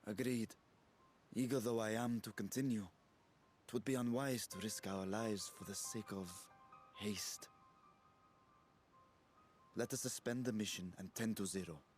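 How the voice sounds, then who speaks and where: A man acting a solemn, earnest brother in a video game cutscene, speaking in formal, archaic, measured phrases. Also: fairly low pitch, a little mellow, close to an everyday voice. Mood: grave, grateful. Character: solemn, earnest brother anxious for his captive sister. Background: game music.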